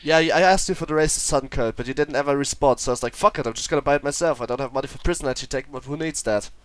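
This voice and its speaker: A man's voice: mid-range voice, rapid-fire, fairly bright tone, no room sound. A man from Germany, chatting casually as co-host of a gaming podcast.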